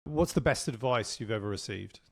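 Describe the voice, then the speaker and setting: A man's voice: mid-range voice, a little fast, vocal fry, breathy edge, no echo. Chatty male podcast host, dry sound. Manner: chatty, lots of fillers and restarts, friendly asides. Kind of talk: casual interview hosting.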